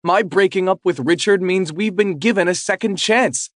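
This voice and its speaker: A man's voice: high voice, moderate pace, no echo, studio quality, pitched above the performer's own voice, natural voice. A man voicing a distraught estranged wife who is tearful, clingy and cutting. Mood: pleading, possessive.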